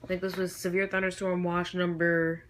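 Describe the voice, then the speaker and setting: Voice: medium pitch, level tone, normal speed. Someone giving a casual, rambling show-and-tell of a collection, often trailing off and correcting themselves, recorded on homemade camera audio. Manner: hesitant, trailing off and self-correcting. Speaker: YouTube hobbyist presenting a collection. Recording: homemade camcorder audio with noticeable background noise.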